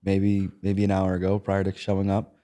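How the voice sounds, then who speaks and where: Animated young man hosting a casual podcast. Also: deep voice, normal speed. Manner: animated, rambling, lots of fillers. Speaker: podcast host.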